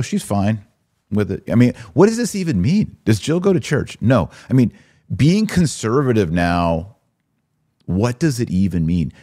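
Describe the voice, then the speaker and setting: A man's voice: on the low side, darkish tone. An American man hosting a live-stream, talking conversationally and with opinion as he answers comments and poses rhetorical questions. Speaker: podcast host and religious commentator.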